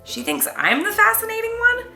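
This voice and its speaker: A woman's voice: extremely high pitch, huge pitch swings, moderate pace, bright, forceful tone, echoey room, soft background music, pitched above the performer's own voice, full character voice. A woman voicing a shy, awkward but brave young boy kitten in a children's storybook, hesitant and guarded. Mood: nervous.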